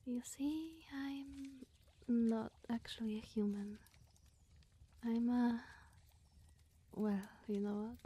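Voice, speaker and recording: A woman voicing a sweet, bashful, scatterbrained young witch in a soft, partly whispered ASMR roleplay, coy and rambling with musing hums. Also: a little high, exaggerated voice. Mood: sheepish, confessing. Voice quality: soft, breathy.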